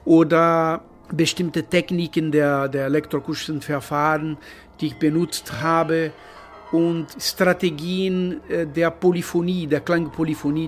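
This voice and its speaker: Man, searching speech, music behind. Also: on the high side. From Brazil. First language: Portuguese. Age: 50s. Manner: careful, searching for words, with repeats and self-corrections. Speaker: composer presenting his work. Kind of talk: radio talk on his own music. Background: faint music.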